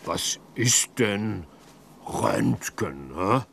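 Creaky, caring little bear. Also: male voice, fairly low pitch, lively intonation, drawn-out words, a bit bright, slight background noise, exaggerated voice. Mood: puzzled. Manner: protective, naive. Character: small, caring, earnest male bear. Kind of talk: children's audio play.

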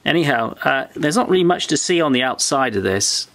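A man talking through a piece of old electronics at his workbench, explaining each part step by step as he thinks aloud in a relaxed way. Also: mid-range voice, fairly quick. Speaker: electronics restorer. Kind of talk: hands-on show-and-tell. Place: workbench indoors.

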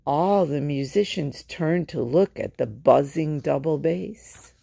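Female storytime reader, low and gravelly, for children's picture books. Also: slow pace, mellow, dry recording. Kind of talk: children's picture-book read-aloud.

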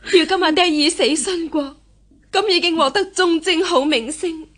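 An actress playing a devoted, resolute young daughter, earnest and formal, in a Cantonese radio costume drama. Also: female voice, very high voice, moderate pace, fairly bright tone. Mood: consoling, mournful.

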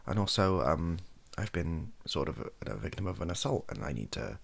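A young British man, softly spoken, rambling hesitantly through an opinion monologue in a gravelly, husky voice and often trailing off. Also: low-pitched, sing-song, normal speed. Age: young adult. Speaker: ASMR YouTuber. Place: small home room, indoors.

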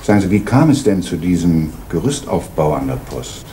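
An actor playing a calm, probing police inspector in an old radio crime drama. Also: male voice, low-pitched, normal speed. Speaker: police detective Fuchs. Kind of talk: 1980s East German radio crime drama. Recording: noisy old radio play recording.

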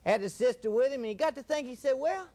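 A man with a very high voice delivering a fiery TV sermon. He preaches dramatically, repeating phrases and coining strange words. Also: fast, dark tone. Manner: dramatic, repetitive, coins odd words.

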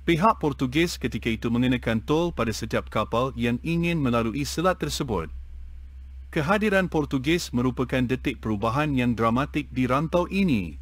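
A man's voice: mid-range voice, no echo. Man reading a text, dry recording. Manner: even, measured reading.

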